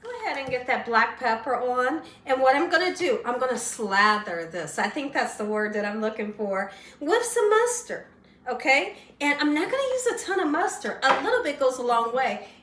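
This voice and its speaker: Woman, cooking show host, slightly hoarse, fairly high voice, chatty and folksy, echoey kitchen, a bit off mic. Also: big pitch swings, normal speed, fairly bright tone, distant mic. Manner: chatty, folksy, keeps checking in with "right?". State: slightly hoarse, losing her voice. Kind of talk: casual cooking demonstration. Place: home kitchen.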